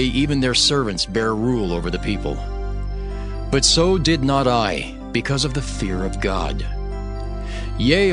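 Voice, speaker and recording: A man playing an earnest, stern governor, narrating his own account in a solemn, measured dramatized scripture reading. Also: mid-range voice, natural voice. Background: background music.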